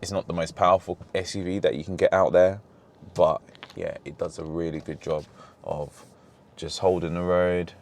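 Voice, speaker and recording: Deep-voiced man chatting while driving. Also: little pitch movement, moderate pace. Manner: rambling, self-correcting, easygoing. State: slightly hoarse, losing voice. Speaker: car reviewer, vlog host. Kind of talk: casual driving commentary. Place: inside a moving car. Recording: car road noise behind. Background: engine and road noise.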